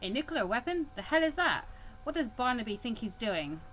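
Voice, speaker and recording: A woman's voice: on the high side, very animated, normal speed, a bit bright, lo-fi sound. A woman voicing a stern, impatient male mentor in a visual novel, scolding and serious. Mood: alarmed. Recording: clear background noise.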